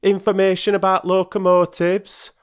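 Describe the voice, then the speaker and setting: A man's voice: high voice, completely flat delivery, moderate pace, clear, resonant tone, voice pushed up, close to an everyday voice. A man voicing a huffy working-class railway porter who talks in a lofty, sulky way with clipped dialect speech. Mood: indignant.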